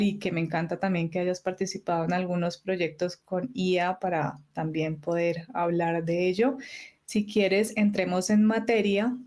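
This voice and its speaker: A woman's voice: on the low side, darkish tone. A woman hosting a podcast interview, who introduces her guest and asks questions in a friendly, encouraging way. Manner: friendly and encouraging, with short backchannels. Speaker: podcast host.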